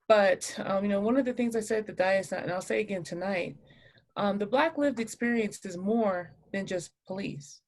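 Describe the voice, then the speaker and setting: A middle-aged woman speaking as a panelist in an online discussion, measured, earnest and emphatic, checking in with her listeners. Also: medium pitch. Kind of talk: spoken reflection in a live online discussion. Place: video call webinar.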